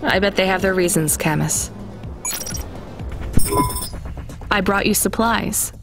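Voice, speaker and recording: An actress playing a sceptical, independent young delivery driver in a video game, matter-of-fact and guarded. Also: female voice, medium pitch, moderate pace, gravelly, over music, close to an everyday voice. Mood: guarded, polite. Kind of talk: naturalistic video game dialogue.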